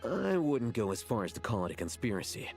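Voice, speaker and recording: A man playing a cool, analytical young scholar in a video game, measured and deadpan. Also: mid-range voice, vocal fry, faint music behind. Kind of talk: English video game voice acting.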